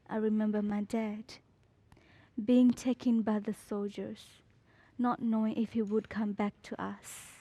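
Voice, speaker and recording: A teenage girl from Burma, a student, reading her personal story in a slow, measured, deliberate way. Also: fairly high pitch, mellow, no echo.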